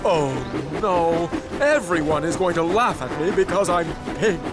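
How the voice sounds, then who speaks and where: A man's voice: high-pitched, expressive, measured pace, over loud music. A man voicing a vain, anxious steam engine who is easily embarrassed, in a children's cartoon, proud and fretful in manner. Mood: dismayed. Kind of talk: children's cartoon character acting.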